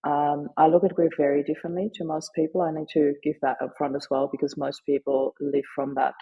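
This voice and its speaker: A middle-aged woman whose first language is German, sharing her story earnestly and haltingly in an interview. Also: low voice, flat delivery, breathy edge, narrow, tinny audio. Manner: earnest, halting, with frequent fillers. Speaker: guest, grief advocate and author.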